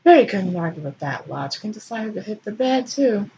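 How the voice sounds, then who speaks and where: A woman's voice: mid-range voice, big pitch swings, a little fast, vocal fry, dark tone, dull audio, slight background noise. A woman giving a comic dramatic reading of fan fiction as host, in a theatrical, irreverent manner.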